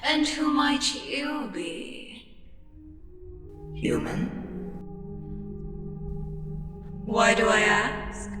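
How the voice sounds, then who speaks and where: A woman playing a weary, irritable ancient eldritch deity in a fantasy ASMR audio roleplay, sardonic and theatrical, with deliberate pauses. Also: a little high. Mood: menacing, curious. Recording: echoing long reverb with loud music. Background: loud music.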